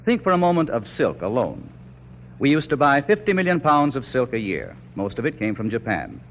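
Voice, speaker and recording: Animated 1940s American radio pitchman. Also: a little fast. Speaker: commercial spokesman. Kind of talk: scripted 1940s radio sponsor narration. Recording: dull, faintly noisy 1940s radio broadcast.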